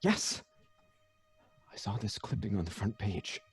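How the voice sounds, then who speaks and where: An actor playing a loyal, concerned best friend in an old-time radio drama, earnest and a little hesitant. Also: male voice, fairly low pitch, expressive, measured pace, creaky, husky, faint music behind, full character voice. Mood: earnest, probing.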